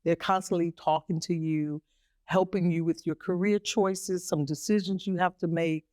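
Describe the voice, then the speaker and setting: Calm woman explaining in conversation. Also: lowish voice, normal speed, no echo, clean studio sound. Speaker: guest, mentor.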